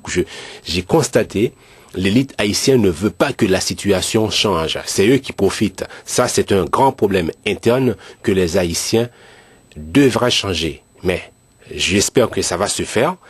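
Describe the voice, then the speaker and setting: A man who is a pastor, from Haiti, speaking earnestly and in a measured way about his country. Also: low voice, expressive, husky, no room sound. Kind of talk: earnest commentary.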